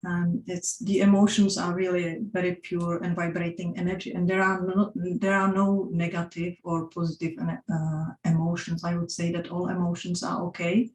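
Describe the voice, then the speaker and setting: A woman's voice: on the low side, flat delivery, moderate pace, hardly any pauses, a little mellow, echoey room, low-quality recording. A woman with a Czech accent presenting a workshop talk with slides, stopping often to restart her sentences. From Czech Republic. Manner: hesitant, frequent restarts and fillers.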